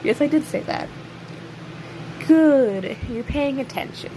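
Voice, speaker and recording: Woman acting a slow-drawling, snarky jailed killer. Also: sits high. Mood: dry, impatient. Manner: sarcastic, drawling, teasing, with pointed pauses. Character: snarky, taunting imprisoned serial killer, cocky and sardonic. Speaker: voice actor in an audio roleplay. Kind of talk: audio roleplay acting, addressing an unheard listener. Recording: steady clear background hiss or hum.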